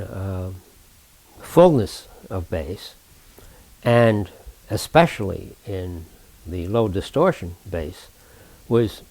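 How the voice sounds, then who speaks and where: An older man speaks in a somewhat low-pitched voice, recounting technical work as if for an oral history. His phrasing is formal and exact. He moves deliberately, often halting mid-sentence before finding the next precise term. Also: gravelly, darkish tone, rough recording.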